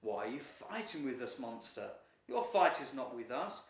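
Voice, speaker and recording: A man's voice: mid-range voice, sing-song, moderate pace, creaky. A man voicing a wise, stern heavenly guardian lion in a storybook, speaking with solemn admonishment. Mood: stern, admonishing. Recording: roomy echo, distant from mic.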